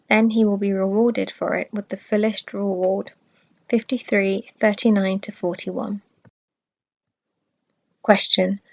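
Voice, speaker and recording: A woman of medium pitch reads scripted religious narration. She phrases things in a measured, orderly way. The recording is a little dull and lacking in top end. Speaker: reader of religious study text.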